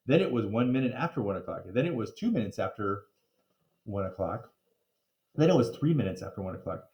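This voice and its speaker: A man reading a children's story aloud in a playful, expressive way with theatrical emphasis. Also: lowish voice, a little fast, lo-fi sound. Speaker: reader of children's book. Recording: roomy, echoing room.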